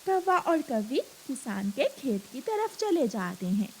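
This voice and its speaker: A woman's voice: high-pitched, big pitch swings, a little slow, darkish tone, slight background noise. A woman reading scripted narration for a children's cartoon story.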